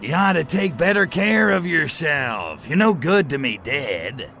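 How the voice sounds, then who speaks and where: Cocky, sharp-voiced alien invader. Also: male voice, fairly high pitch, sing-song, measured pace, slight background noise, exaggerated voice. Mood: mocking swagger. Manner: sarcastic, swaggering, slangy delivery. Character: cocky, wisecracking male alien invader, impatient and menacing. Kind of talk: video game cutscene voice acting.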